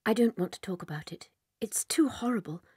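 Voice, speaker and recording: Breathy, gentle British schoolteacher. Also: female voice, mid-range voice, lively intonation, brisk, no echo, clean studio sound. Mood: troubled, confiding. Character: gentle, timid young woman schoolteacher, kind and careful. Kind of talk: dramatised children's audiobook.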